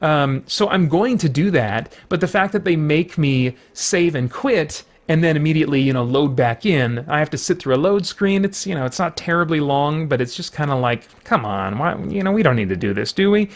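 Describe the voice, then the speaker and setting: Man, game reviewer, talky and unscripted, slightly higher voice, lots of "um" and "you know". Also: animated, moderate pace, hardly any pauses. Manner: rambling, frequent fillers and restarts. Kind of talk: casual spoken review.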